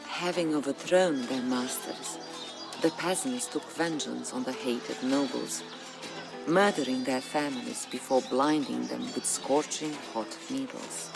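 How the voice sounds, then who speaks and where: A solemn chronicler for a historical strategy game. The delivery is dramatic scripted narration, grave and measured, at a middle pitch. Music plays clearly beneath the voice. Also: fairly even intonation. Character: solemn storyteller chronicling a medieval Bulgarian uprising. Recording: music under the voice. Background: background music.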